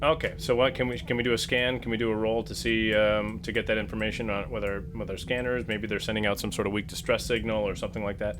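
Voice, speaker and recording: A man's voice: on the low side, flat delivery, fast talker, hardly any pauses. Man playing tabletop game, faint noise. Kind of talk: casual game table chat, partly in character.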